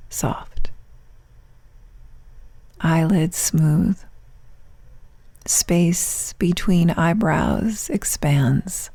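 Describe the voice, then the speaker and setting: A woman guides a meditation in a low, soft voice that turns breathy as phrases end. Her delivery is hushed and very slow, with long pauses. The sound is dry and close. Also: dry recording. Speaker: yoga nidra teacher. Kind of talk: guided meditation, soothing instruction.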